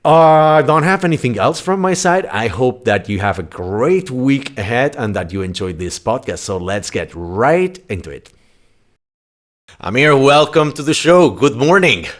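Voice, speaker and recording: A man's voice: medium pitch, sing-song, measured pace. A man hosting a podcast interview, chatty and blunt, with frequent fillers and restarts. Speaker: podcast host.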